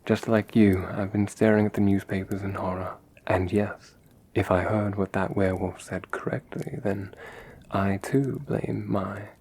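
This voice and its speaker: Man playing a low, husky, courteous young vampire. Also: level tone, darkish tone. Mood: grave, wry. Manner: measured, formal, reassuring. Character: courteous, brooding young male vampire, studious and protective. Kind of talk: ASMR roleplay acting.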